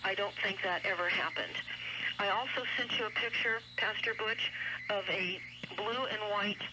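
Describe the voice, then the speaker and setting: A woman giving an earnest, emphatic explanatory talk on a shortwave radio broadcast, speaking deliberately. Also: medium pitch. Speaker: radio show guest commentator. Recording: noisy shortwave radio broadcast, heavy static. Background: loud radio static and noise.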